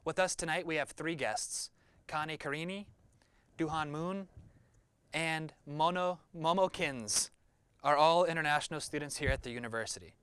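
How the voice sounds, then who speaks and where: A man's voice: on the high side, normal speed, fairly bright tone, clean tone. A young man hosting a student-made interview, reading friendly, scripted questions. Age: young adult. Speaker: host.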